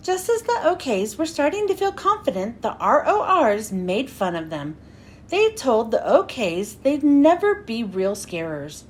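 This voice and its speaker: High, animated woman reading a children's story. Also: a little hiss or hum. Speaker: read-aloud host. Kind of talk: children's storybook read-aloud.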